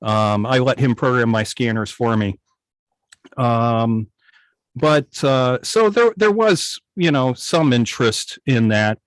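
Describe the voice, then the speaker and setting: Middle-aged male hobbyist guest, mid-pitched, thoughtful and halting, casual storytelling, recorded dry on a video call. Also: dry recording. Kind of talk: casual reminiscing in an online club interview.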